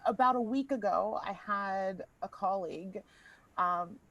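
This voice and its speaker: A woman's voice: mid-range voice, measured pace, fairly bright tone, dull audio. A woman opera singer talking candidly and frankly on a panel over a video call. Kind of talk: panel discussion.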